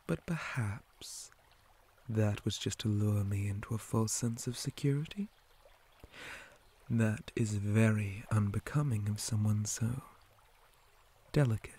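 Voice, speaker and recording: A man voicing a menacing, possessive male spider monster in an ASMR roleplay, breathy and often whispering, with slow, softly taunting, formal speech. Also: on the low side, full character voice.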